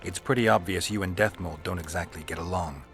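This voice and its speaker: A stoic male monster hunter for a fantasy game. His voice is very deep, his delivery laconic and dry. He gives little away, with music playing underneath. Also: a little fast, a bit bright. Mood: blunt. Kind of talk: video game dialogue acting. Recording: music behind the voice.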